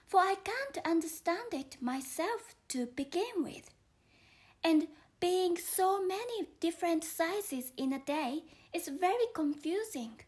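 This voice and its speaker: A woman's voice: very high voice, expressive, takes it slowly, darkish tone, pitched above the performer's own voice, exaggerated voice. A woman voicing a polite, puzzled young girl in a storybook reading, speaking earnestly and carefully. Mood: politely puzzled.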